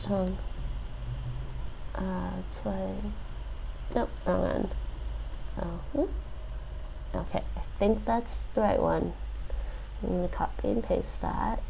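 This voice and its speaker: Woman streamer mumbling thru an app lesson, lots of ums and restarts, gravelly low-key voice, faint room noise. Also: takes it slowly, long pauses, warm tone, rough recording. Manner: hesitant, many fillers and restarts. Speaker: live streamer. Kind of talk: thinking aloud while doing a language app.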